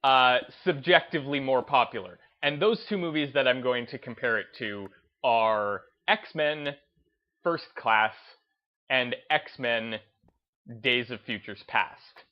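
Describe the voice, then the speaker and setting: Guy hosting a gaming video, slow halting talk, keeps rewording stuff, music in the back, kinda muffled audio. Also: mid-range voice. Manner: halting, reflective, often rephrasing himself. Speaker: host of a gaming video series. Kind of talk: unscripted video commentary over gameplay.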